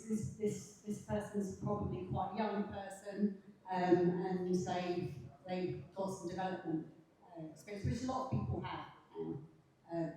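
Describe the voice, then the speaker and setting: A soft-spoken woman on a panel, giving a hesitant spoken critique at a café event with many restarts. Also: on the low side, a little hiss or hum. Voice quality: fairly quiet voice. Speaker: panel reviewer. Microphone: handheld mic, held away at times. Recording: very echoey room, distant mic, faint ambience.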